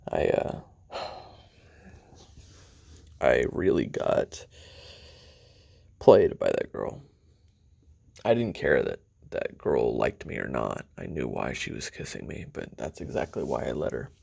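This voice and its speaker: A man playing a weary, bitter, regretful assassin in an audio drama, confiding a halting memoir in a gravelly, husky voice that drops toward whispers. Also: sing-song, a little mellow, full character voice. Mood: rueful, confiding. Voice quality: very deep, gravelly, husky, often breathy. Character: weary, bitter, regretful male assassin recounting his youth. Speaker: voice actor in an audio roleplay. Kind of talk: confessional taped memoir monologue, audio drama.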